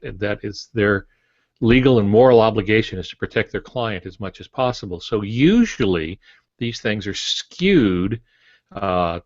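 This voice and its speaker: Low-voiced older man, dry muffled sound. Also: dry recording. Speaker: podcast panelist, veteran author. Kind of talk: explanatory podcast discussion. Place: home office, indoors. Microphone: earbuds on a video call. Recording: dull, compressed video-call audio.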